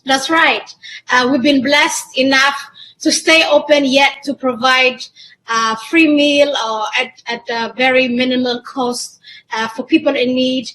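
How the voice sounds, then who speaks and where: Woman, very high voice, Ethiopian-born and raised in Israel; restaurant-owner guest in an interview. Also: fairly even intonation, a little slow, sharp tone, low-quality recording. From born in Ethiopia, grew up in Israel. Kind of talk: interview answers.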